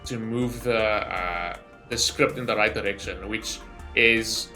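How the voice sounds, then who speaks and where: A man's voice: fairly low pitch, slow pace, pushed and bright, faint music behind. A man reacting casually to a game story as a streamer, musing aloud with wry questions. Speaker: gaming streamer.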